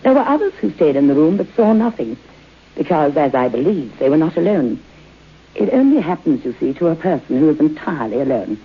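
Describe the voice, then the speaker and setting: A woman's voice: low-pitched, big pitch swings, brisk, breathy edge, heavily acted. An older woman acting an imperious old aristocratic lady in a radio horror drama, speaking formally and commandingly with theatrical delivery. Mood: grave storytelling. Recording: dull, muffled old radio broadcast.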